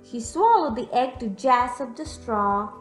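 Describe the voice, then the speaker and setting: A young woman reading a picture book aloud to young children in an expressive, teacherly way, pausing to put questions to them. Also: on the high side. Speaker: children's storyteller. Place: room at home, indoors. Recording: echoey room, music in the background.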